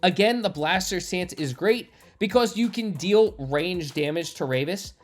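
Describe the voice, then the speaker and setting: A man's voice: high voice, lively intonation, a little slow. A man giving enthusiastic, explanatory video game walkthrough commentary as the creator of a gaming channel. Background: game music.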